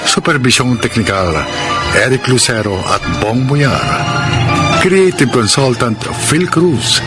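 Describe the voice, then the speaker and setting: Male announcer, animated mid-pitched voice, radio drama narration, dramatic, loud music. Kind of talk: scripted closing narration and credits.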